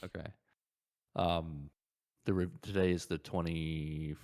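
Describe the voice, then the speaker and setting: A man's voice: deep voice, steady tone, a little slow, a little mellow. A man co-hosting a casual gaming podcast, thinking aloud hesitantly and often repeating his words. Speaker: podcast co-host.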